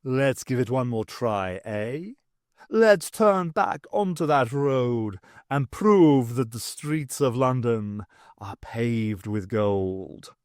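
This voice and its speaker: A man voicing a jaunty, flattering pet cat in an audiobook, with theatrical, old-fashioned pantomime phrasing. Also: medium pitch, takes it slowly, no room sound, studio quality, pitched below the performer's own voice. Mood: cheery, coaxing.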